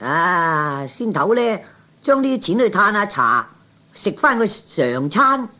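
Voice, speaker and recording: A man voicing a naive, excitable male gambler's sidekick with a querulous, eager manner in a Cantonese 1960s radio comedy. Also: sits high, slow pace, heavily acted. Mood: grumbling.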